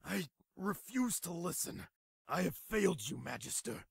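A man voicing an earnest, excitable panda general in a video game, formal and dramatic. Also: sits high, measured pace, vocal fry, husky, no room sound, clean studio sound, exaggerated voice. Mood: remorseful.

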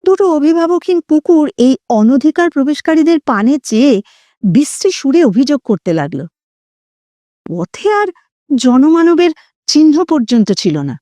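A woman narrating a literary story in a dramatic, expressive way with deliberate phrasing. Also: high voice, no room sound. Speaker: audio story narrator and host.

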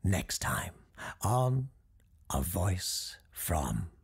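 A podcast host and storyteller giving dramatic, measured scripted narration, with a gravelly voice that drops into hushed tones. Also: sits low, big pitch swings. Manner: theatrical, measured.

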